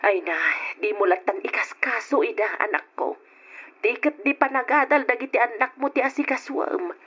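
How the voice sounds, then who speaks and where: A woman's voice: fairly high pitch, moderate pace, fairly bright tone, breathy edge. A woman playing a patient, worried middle-aged mother in an old Ilocano radio drama, recorded with a muffled sound. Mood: soothing, resigned. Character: patient, gentle, worried mother of grown children.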